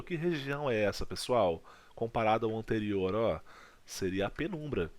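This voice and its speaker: A man from Brazil teaching a physics video lesson, checking in with his students often. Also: medium pitch. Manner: lively teacher, checks in with "legal?" and "tá?".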